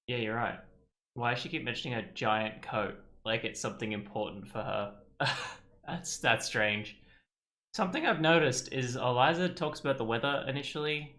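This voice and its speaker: Guy doing a chill lets play, bright voice, normal pitch, kinda thinking out loud. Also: normal speed. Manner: musing, thinking aloud. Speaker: game streamer. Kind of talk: casual let's play commentary.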